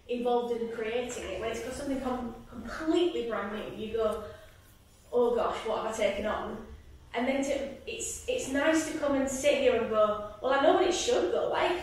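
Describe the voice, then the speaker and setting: A woman musical director talking casually about learning new music, animated and thinking aloud. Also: on the high side. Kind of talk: casual chat. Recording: echoey room from far off.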